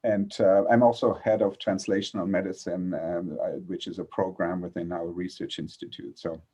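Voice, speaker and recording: A man's voice: deep voice, little pitch movement, moderate pace, mellow. A middle-aged man with a German accent, a panelist introducing himself on a video call, hesitant with many fillers and restarts. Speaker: panelist, pediatric respirologist.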